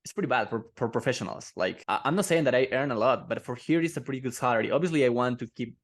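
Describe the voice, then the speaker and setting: A man from Peru at a middle pitch rushes through his answers. He stumbles and repeats words as he goes. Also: expressive. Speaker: web designer.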